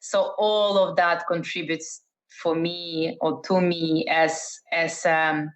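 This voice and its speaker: A middle-aged woman from Russia, raised in the Netherlands, answering interview questions thoughtfully with many fillers and restarts. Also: on the low side. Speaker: interview guest, entrepreneur. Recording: dull lo-fi sound.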